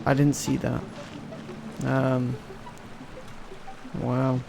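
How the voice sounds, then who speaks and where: A man's voice: medium pitch, monotone, extremely slow, gravelly, background noise. A man giving casual, muttered gameplay commentary as he streams, offhand and lightly sweary. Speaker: video game streamer. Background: game music and effects.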